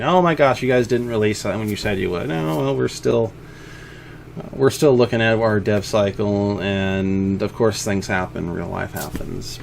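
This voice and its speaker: A man giving an informal, unscripted progress update as an indie game developer, speaking in a halting, rambling way. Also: mid-range voice, creaky, a little hiss or hum.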